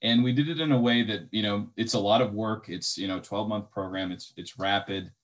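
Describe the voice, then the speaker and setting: A young man speaks on a video call in an easy, conversational way, often stopping on "um" and "you know". His voice sits somewhat low for a man. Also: fairly even intonation, brisk. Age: young adult. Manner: casual, friendly, with fillers. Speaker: medical graduate, alumnus. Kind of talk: online info session talk.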